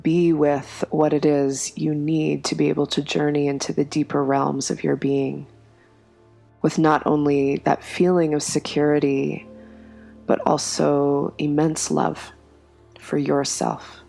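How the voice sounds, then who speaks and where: A woman guiding a yoga nidra meditation in a slow, soft, soothing way, with long pauses between phrases. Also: deep voice, level tone, gravelly, faint music behind. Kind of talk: guided meditation.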